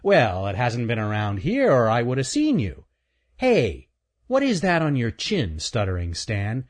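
A man's voice: mid-range voice, wildly swooping pitch, a little slow, voice pushed up. A man voicing a cocky male chipmunk who teases and wheedles in a children's story. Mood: wheedling. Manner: teasing, pushy.

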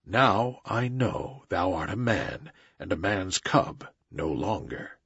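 A man voicing a low, soft-spoken wise panther. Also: lively intonation, takes it slowly, gravelly, slightly rough, dry recording, exaggerated voice. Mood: gentle. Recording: slightly dull recording.

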